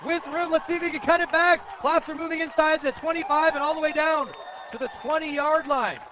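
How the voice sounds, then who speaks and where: An American man calling high school football play-by-play on the radio, excitable when a big play comes. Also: brisk, crowd noise, noisy background. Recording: dull, lo-fi outdoor radio broadcast.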